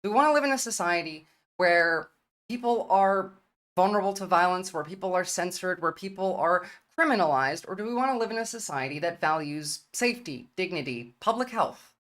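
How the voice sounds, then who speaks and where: A woman's voice: lowish voice, animated, fairly quick, a bit bright. A woman giving an earnest, argumentative opening statement in an online debate, citing statistics with some hesitations. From United States.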